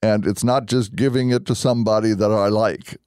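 A man speaking as a deacon and radio host, conversational and earnest, explaining things deliberately. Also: fairly low pitch, steady tone, a little mellow, clean tone, dry recording, clean studio sound. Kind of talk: conversational religious radio hosting.

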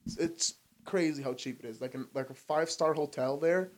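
A man's voice: a little high, brisk. A young man from Albania in casual conversation, loose and chatty, saying "bro" and "like" a lot. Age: young adult. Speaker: podcast guest. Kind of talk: casual chat.

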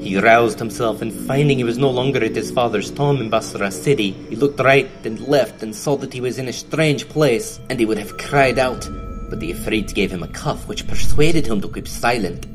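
A man narrating an audio drama in measured storytelling tones with archaic phrasing. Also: medium pitch, music in the background.

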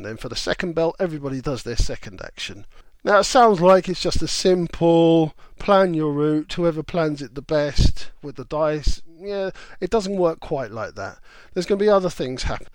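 A man's voice: a little high, no room sound. A man co-hosting a podcast, chatting casually and explaining game rules step by step. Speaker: podcast co-host. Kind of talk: casual board game review chat.

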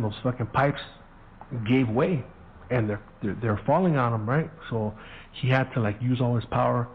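A middle-aged man spins a laid-back, profane story in a mid-range voice. He slips into the voices of the people he quotes. The sound is narrow and tinny, like a phone call. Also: medium pitch. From Southern California. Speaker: podcast host. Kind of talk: casual storytelling monologue. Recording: phone-quality audio.

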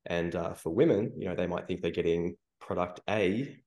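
A man's voice: sits low, moderate pace, a little mellow. A man co-hosting a podcast, giving his opinions in a wry way with joking asides. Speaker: podcast co-host. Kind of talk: casual podcast chat.

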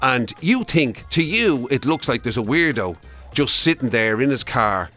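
A man's voice: medium pitch, very animated, unhurried, bright, forceful tone, faint music behind. A man with an Irish accent co-hosting a podcast, telling comic anecdotes in casual, teasing chat. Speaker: podcast and radio co-host.

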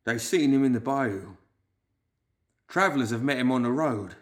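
A man voicing a frightened, obsessive clerk in a story, whimpering and wailing in drawn-out, fearful speech. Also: mid-range voice, close to an everyday voice. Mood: haunted, fearful.

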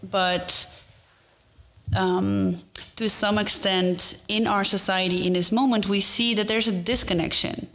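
Woman moderator, middle-pitched voice, halting questions. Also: fairly even intonation, a bit bright. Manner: hesitant, searching for words with fillers. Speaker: panel moderator. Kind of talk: panel discussion.

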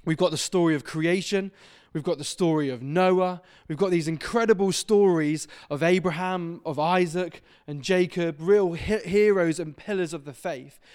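A man in his thirties from Devon gives a friendly, enthusiastic sermon to a church, often joking at his own expense. His voice sounds smooth and resonant and sits a little high for a man. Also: normal speed, darkish tone. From England (Devon). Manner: chatty, self-deprecating, enthusiastic. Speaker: visiting preacher. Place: church hall.

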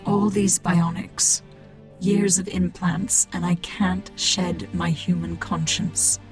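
Imperious, cold cyborg priestess. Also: female voice, lowish voice, little pitch movement. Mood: anguished, grave. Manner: grave, haughty, deliberate pronouncements. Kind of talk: dramatic sci-fi audio drama acting. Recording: dramatic music under the voice. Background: music.

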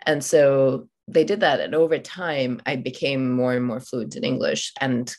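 A woman with a very low-pitched voice whose first language is Malayalam. She talks in a reflective, searching way, pausing and restarting often. She speaks as an author guest on a podcast interview. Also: steady tone, darkish tone. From India, raised in Oman. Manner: thoughtful, many fillers and restarts.

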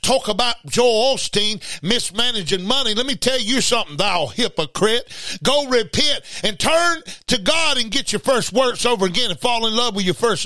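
A man's voice: sits high, bright, forceful tone, dry recording. An angry man preaching in a confrontational, scolding way, firing off rhetorical questions and repeating his refrains. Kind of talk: fiery informal sermon.

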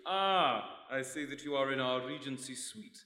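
Bright, officious ship's attendant. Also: male voice, medium pitch, a little slow. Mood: officious courtesy. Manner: announcing, formal, projected. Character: courteous, officious ship's boarding gate attendant. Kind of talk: live radio play acting. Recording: echoey hall, live stage.

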